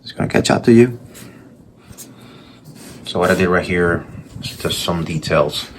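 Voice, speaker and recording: Very deep-voiced Puerto Rican man rambling. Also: unhurried, a little hiss or hum. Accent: Puerto Rican Spanish. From Puerto Rico. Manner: rambling storytelling with restarts and asides. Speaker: artist narrating his sketchbook process. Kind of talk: casual voice-over commentary.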